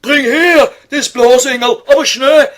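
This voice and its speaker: A man's voice: extremely high pitch, steady tone, a little slow, a bit bright. A man voicing a grumpy old scholar in Viennese dialect for an audio comedy sketch, whining and impatient. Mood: impatient, irritated.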